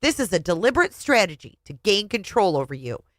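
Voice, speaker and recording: A woman's voice: medium pitch, very animated, fairly quick, dry recording. A woman voicing a validating therapist in a story, explaining things with firm emphasis. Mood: assured, explaining.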